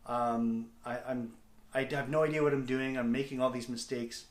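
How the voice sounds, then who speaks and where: A middle-aged man with a Canadian accent talking casually to a video diary in a candid, self-deprecating, halting way. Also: mid-range voice, steady tone. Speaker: comedic actor and video diary host. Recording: echoey, roomy sound.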